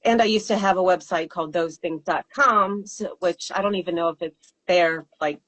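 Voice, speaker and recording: A woman with a fairly low voice, a singer-songwriter answering interview questions in a thoughtful, hesitant way with fillers and laughs. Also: normal speed, a bit bright, rough recording.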